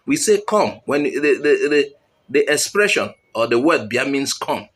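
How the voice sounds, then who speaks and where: A man hosts his own channel, talking earnestly and directly to his audience. His pitch rises and falls in a lively way, and he sits in a middle register. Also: unhurried. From Nigeria. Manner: earnest, appealing directly to viewers. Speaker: channel host. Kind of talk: commentary to viewers.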